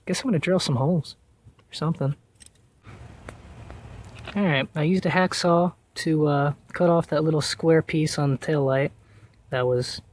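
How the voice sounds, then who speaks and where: A man giving a casual, hands-on do-it-yourself tutorial in a halting, thinking-aloud way. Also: a little high. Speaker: DIY car project vlogger. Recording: noisy recording with clicks.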